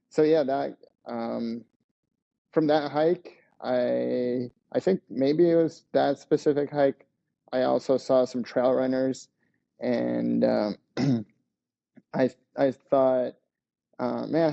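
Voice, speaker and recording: A man's voice: medium pitch, gravelly, mellow. A young man from Lithuania, a podcast guest telling his story in a hesitant way with many fillers, on a headset over a video call. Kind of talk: casual interview storytelling. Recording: dull lo-fi video call audio.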